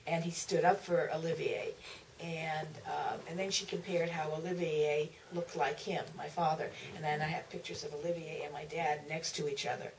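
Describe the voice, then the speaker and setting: A woman author recollecting at a live Q&A in a theater, speaking haltingly with repeats and trailing phrases. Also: deep voice, flat delivery. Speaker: author, panel guest. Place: museum theater. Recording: distant, noisy auditorium pickup.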